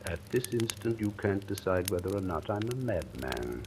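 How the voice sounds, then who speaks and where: An actor playing an imperious, sardonic and menacing writer in a 1940s style radio drama. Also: male voice, low voice, very animated, moderate pace. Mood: commanding. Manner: imperious, commanding, volatile. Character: domineering, sardonic writer, rumoured wife-killer, menacing. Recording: noisy vintage radio recording with clicks.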